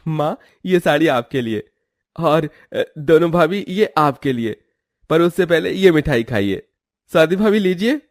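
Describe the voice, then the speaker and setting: A man's voice: fairly high pitch, animated, measured pace, dry recording, studio quality. A man voicing an affectionate, eager young brother-in-law in a cartoon, earnest and caring. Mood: excited, grateful.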